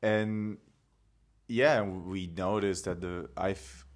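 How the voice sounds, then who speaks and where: A young man from Italy, talking in a relaxed, thoughtful way with many fillers as a podcast guest. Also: low voice, drawn-out words. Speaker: podcast guest, climate activist. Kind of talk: casual conversation.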